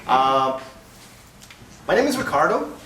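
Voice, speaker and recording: A man's voice: fairly high pitch, sing-song, takes it slowly, bright, forceful tone. A man in his thirties from Brazil with a Brazilian Portuguese accent, giving a casual conference talk as a developer advocate, often adding tag questions. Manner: conversational, frequent tag questions. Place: small meeting room, indoors. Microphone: far camera. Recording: roomy, distant, faint hiss.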